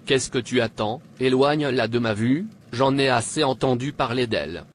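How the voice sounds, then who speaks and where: A man's voice: medium pitch, normal speed, lightly acted. Imperious cartoon king played by a man, noisy recording. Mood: haughty, threatening. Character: haughty, threatening pagan king, later fearful and pleading. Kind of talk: dubbed animated religious drama.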